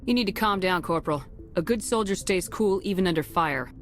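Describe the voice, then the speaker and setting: An actress playing a composed, firm female military commander in a video game, speaking with steady, probing authority. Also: on the low side, fairly quick, a little hiss or hum, lightly acted. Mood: steady, authoritative.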